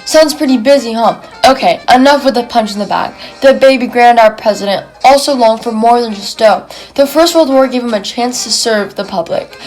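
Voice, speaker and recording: A woman's voice: on the high side, moderate pace, hardly any pauses, darkish tone, soft background music, low-quality recording. A young woman reading a scripted 1920s-style radio broadcast as its presenter.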